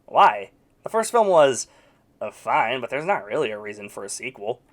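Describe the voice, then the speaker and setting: Male film reviewer, dry sarcastic humor, very animated intonation, medium pitch. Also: normal speed. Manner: wry and sarcastic, with mock-exasperated outbursts. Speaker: video game movie reviewer. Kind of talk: scripted casual review voice-over.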